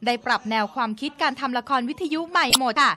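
A woman's voice: sits high, fast talker, a crowd in the background. A woman narrating a television news feature from a script in a polite, polished presenter manner.

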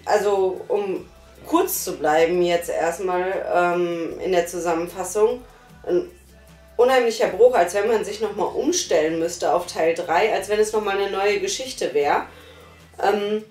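A woman's voice: mid-range voice, darkish tone, roomy sound, far from the mic. A woman giving her opinion in a casual review chat, hesitant with many fillers. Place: small living room, on a sofa. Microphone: far camera.

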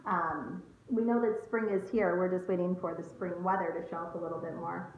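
A woman's voice: on the low side, steady tone, a little fast. A woman in her thirties reading a picture book aloud to young children like a teacher, expressively, with questions and asides to them. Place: small room at home. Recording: muffled phone-like audio, roomy, faint noise.

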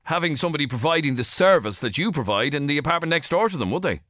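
Middle-aged Irish male talk-radio host; crisp bright tone, animated pitch, halting probing questions. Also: no echo, studio quality. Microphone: studio microphone.

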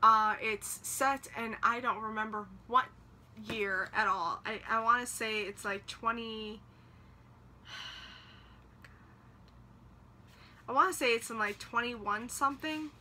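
Young woman rambling on webcam, kinda high, really bright voice, faint hiss behind. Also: slow, long pauses. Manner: rambling, chatty, lots of fillers. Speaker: book vlogger. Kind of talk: casual chat to camera. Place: small room in front of bookshelves, indoors.

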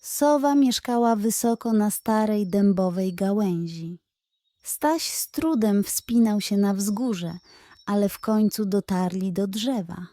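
A woman reading a scripted children's bedtime fairy tale as an expressive storyteller. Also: medium pitch, slow pace, dry recording, clean studio sound.

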